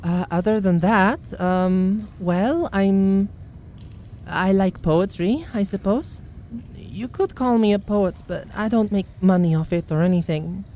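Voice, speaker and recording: A woman's voice: mid-range voice, measured pace, warm tone, a little hiss or hum, natural voice. A woman playing a kind, wry bounty hunter mage in a fantasy ASMR audio roleplay. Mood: shy, hesitant.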